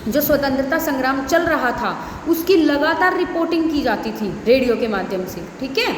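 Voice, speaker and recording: A woman teacher with a distinctly high voice. She reads her notes and then explains them again, often checking that listeners follow. The recording rings with long room reverb and has some hiss behind it. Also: animated, moderate pace. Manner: explanatory, with frequent check-in tags and restatements. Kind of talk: educational lecture, reading notes and explaining them.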